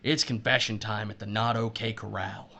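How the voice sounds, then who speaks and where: A man playing a betrayed husband turned killer in an audio drama, sardonic and taunting, with deliberate menace. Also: fairly low pitch, level tone, gravelly, background noise. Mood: cold accusing. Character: betrayed, vengeful husband turned sadistic murderer, missile silo worker.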